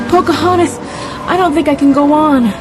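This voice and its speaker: A woman voicing a loyal, practical but doubtful girl in a children's read-along story, girlish and expressive. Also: high voice, moderate pace, over music, lightly acted. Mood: weary, worried.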